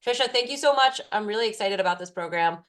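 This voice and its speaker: A woman's voice: medium pitch, lively intonation, fast talker, a bit bright. A woman, a food safety specialist, answering participants' questions in an online class in a casual way with many fillers.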